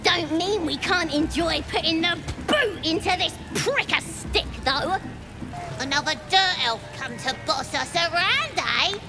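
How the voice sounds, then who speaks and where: A woman's voice: extremely high pitch, animated, measured pace, music in the background. A woman playing a cruel, belligerent young goblin in a video game, taunting in working-class English slang. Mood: callous, threatening. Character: brash, cruel young orphaned goblin brawler. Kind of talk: video game character acting.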